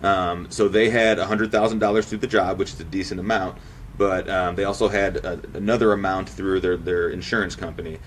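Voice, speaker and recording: Low-pitched man in his thirties, slightly distant. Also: fairly even intonation, a little fast, slight background noise. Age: 30s. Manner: informal, explanatory. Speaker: insurance agent guest. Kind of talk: casual explanatory interview talk.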